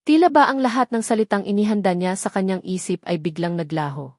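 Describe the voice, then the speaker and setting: A woman's voice: medium pitch, a little fast, a little mellow, no room sound. Woman narrating a romance story, very dry sound.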